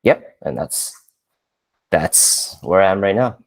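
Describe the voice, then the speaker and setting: A man in his thirties from Canada, chatting casually on a podcast, relaxed and self-deprecating with lots of fillers. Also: sits low, slow. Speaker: podcast co-host, software engineer.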